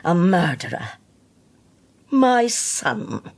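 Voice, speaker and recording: Low, husky older Scottish widow. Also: female voice, very animated, drawn-out words, exaggerated voice. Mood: anguished, resolute. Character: grave, devout older widow confessing a terrible deed. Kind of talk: naturalistic radio drama acting.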